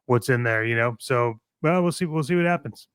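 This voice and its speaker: A man's voice: medium pitch, fairly quick, smooth, no room sound, studio quality. A man co-hosting a hobby talk show, moving between opinionated chat and brash, playful, slangy ad reads. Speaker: co-host of a toy-collecting show.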